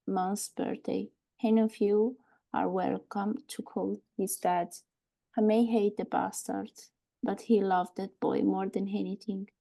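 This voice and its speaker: A woman playing a bitter, grieving wife and mother in a screenplay table read, telling her story in a halting, wry way. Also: medium pitch, vocal fry, mellow. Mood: numb, bitter.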